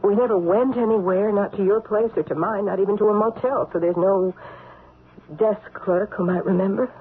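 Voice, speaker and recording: An actress playing a politician's secret lover, reassuring but exasperated, insistent and reasoning, in an old-time radio drama. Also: female voice, mid-range voice, steady tone, normal speed, slightly rough, natural voice. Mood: reflective. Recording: noisy, tinny old radio broadcast.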